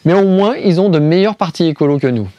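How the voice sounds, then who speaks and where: A man's voice: high voice, lively intonation, quick pace, warm tone, no room sound, muffled recording. A man from France hosting a scripted explainer video, arguing step by step with rhetorical questions and ironic asides. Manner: poses questions then answers them. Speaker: video host.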